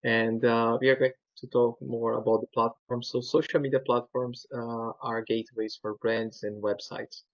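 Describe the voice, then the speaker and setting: A man's voice: mid-range voice, level tone, dry recording. Brazilian guy presenting on a webinar, second language, lots of uhs, mid voice, kinda muffled call audio. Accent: Brazilian Portuguese. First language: Portuguese. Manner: halting, many fillers and restarts.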